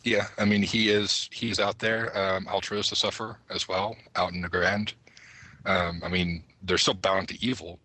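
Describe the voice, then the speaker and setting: A man's voice: low voice, flat delivery, moderate pace, sharp tone, dry recording, poor audio. A man on a podcast panel, chatting casually and informally. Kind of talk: casual chat.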